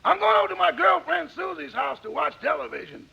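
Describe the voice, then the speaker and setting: An actor playing a wry household valet in 1950s radio comedy, with a raspy, gravelly voice and sly but respectful delivery. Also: male voice, very high-pitched, animated, fairly quick, exaggerated voice. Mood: sly, casual. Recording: muffled, slightly noisy 1950s radio recording.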